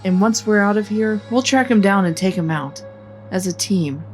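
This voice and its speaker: Woman playing a supportive, teasing soldier, mid-pitched. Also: animated, moderate pace, faint music behind, natural voice. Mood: reassuring. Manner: casual, encouraging, teasing. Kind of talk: sci-fi audio drama acting.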